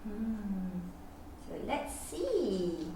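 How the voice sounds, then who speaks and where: A mother with a Singaporean accent reading a children's picture book aloud, expressive and sing-song, with gasps. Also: medium pitch, extremely slow, slight background noise. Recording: echoey room, mic at a distance.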